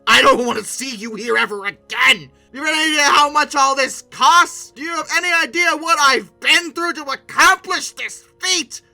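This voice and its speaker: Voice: sits high, moderate pace, vocal fry, few pauses, pushed and bright. A game reviewer giving an informal, comedic video commentary with a theatrical, sarcastic delivery that leans toward mock outrage. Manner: sarcastic asides. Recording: music bed behind the voice. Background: music.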